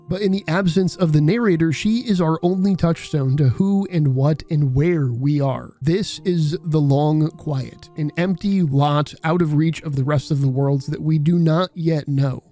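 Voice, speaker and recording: A man's voice: fairly high pitch, warm tone. A man reading scripted video essay narration in a wry, casual way.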